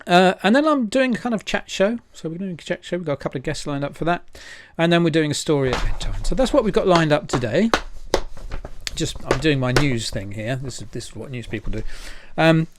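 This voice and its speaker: A man's voice: fairly high pitch, animated, a little fast, background noise. A man hosting an informal livestream, chatty and hesitant as he rambles through announcements. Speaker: event host and presenter.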